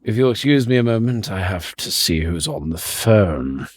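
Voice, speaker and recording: A man who voice acts, talking between takes in a formal, measured, deadpan way. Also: fairly low pitch, background noise. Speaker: amateur voice actor. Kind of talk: dry monologue between takes.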